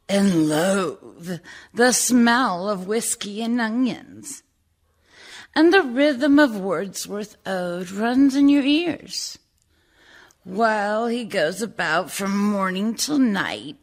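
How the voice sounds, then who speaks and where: A woman playing a disgusted, refined, resentful wife in a deliberate, indignant radio play monologue. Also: medium pitch, heavily acted. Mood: wounded pride.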